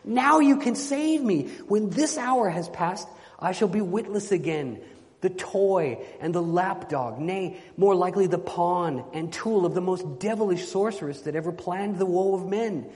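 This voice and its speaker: A man voicing an anguished, bound knight under enchantment in a storybook reading, pleading forcefully in formal, old-fashioned speech. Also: fairly high pitch, expressive, normal speed, natural voice. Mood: desperate pleading. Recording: long hall reverberation.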